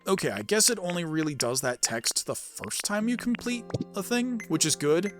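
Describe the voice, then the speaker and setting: A man's voice: high voice, animated, normal speed, fairly bright tone, faint music behind. A man giving casual let's-play commentary as host, rambling and thinking aloud. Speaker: gaming video host.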